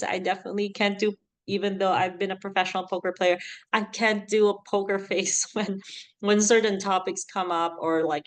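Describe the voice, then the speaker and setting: A woman in her thirties from the Philippines, with a Filipino accent that leans American, giving animated, chatty podcast advice and laughing often. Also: mid-range voice. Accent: Filipino, with an American lilt. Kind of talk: casual advice talk.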